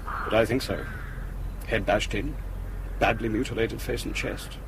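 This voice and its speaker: Curt, gloomy police doctor, lowish voice, radio drama with clear background noise. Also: male voice, steady tone, unhurried. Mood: grim, clinical. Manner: curt, clinical, impatient. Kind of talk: naturalistic British radio crime drama.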